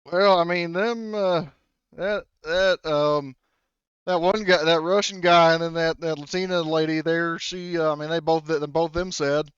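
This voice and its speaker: A man voicing a dim, rough-spoken backwoods witness in a tabletop game, with halting, folksy, rustic phrasing. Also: on the high side, fairly even intonation, no room sound, lo-fi sound, close to an everyday voice. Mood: confused.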